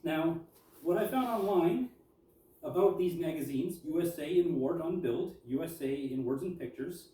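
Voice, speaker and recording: A man's voice: fairly high pitch, moderate pace, warm tone. A man presenting as a collector and historian, reading articles aloud and adding informal commentary. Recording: echoey room, distant mic.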